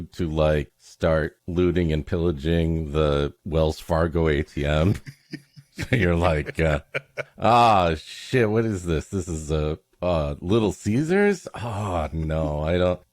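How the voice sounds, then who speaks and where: A man's voice: deep voice, slow, darkish tone, no echo. A man hosting a podcast with comedic banter and story introductions, playfully mock-dramatic in his delivery. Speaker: podcast host.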